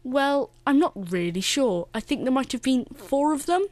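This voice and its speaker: A woman's voice: high voice, lively intonation, moderate pace, a little mellow. A woman playing a self-important, easily flustered announcer in a comic radio play.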